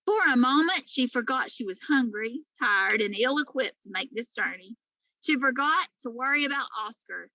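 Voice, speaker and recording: A woman's voice: fairly high pitch, lively intonation, moderate pace, bright, forceful tone, dry recording, muffled recording. A woman reading a children's story aloud as a teacher would for pupils. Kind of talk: storybook read-aloud narration.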